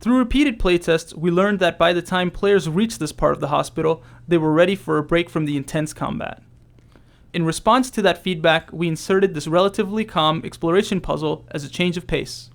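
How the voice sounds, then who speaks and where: Man, high register, plenty of fry, scripted developer commentary. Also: fairly quick. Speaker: game developer.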